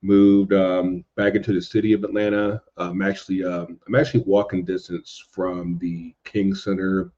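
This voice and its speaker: A man chatting casually as a podcast guest, halting and circling back over his phrases. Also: deep voice, little pitch movement, mellow, lo-fi sound. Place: indoors at home in a city.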